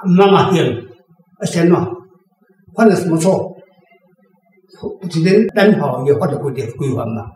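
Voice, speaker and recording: Echoey, distant, high-voiced older Taiwanese man. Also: warm tone. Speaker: author answering readers' questions. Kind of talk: informal explanatory discussion. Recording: very echoey room, distant microphone.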